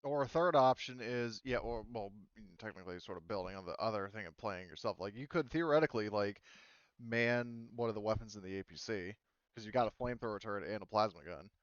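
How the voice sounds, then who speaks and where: A man's voice: fairly low pitch, a little fast, clear, resonant tone, dry recording. A man running a tabletop game, explaining rules casually and hesitantly with many hedges and filler likes, on slightly dull close-mic audio. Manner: hesitant, hedging, repeats "like" often. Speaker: game master.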